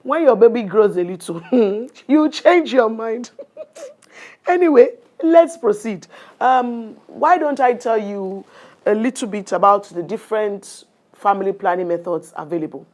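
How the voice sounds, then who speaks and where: A woman's voice: on the high side, unhurried, darkish tone, natural voice. A woman with a Nigerian accent acting as a condescending, bossy family planning nurse in a radio drama, talking down with exclamations and little tags. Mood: condescending, amused. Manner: patronizing, talks down with exclamations and tags. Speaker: nurse in an educational radio play.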